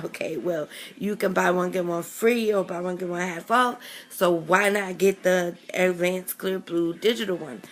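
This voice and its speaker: Slow-talking woman with a sick raspy voice. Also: mid-range voice. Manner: rambling, many ums and self-corrections. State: raspy voice from a chest cold. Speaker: vlogger. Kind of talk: casual chatty vlog.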